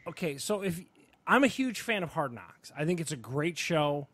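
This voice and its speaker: A man's voice: fairly high pitch, very animated, moderate pace, a bit bright, no room sound. A man co-hosting a casual sports and entertainment podcast, talking in an enthusiastic, emphatic, rambling way. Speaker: podcast co-host.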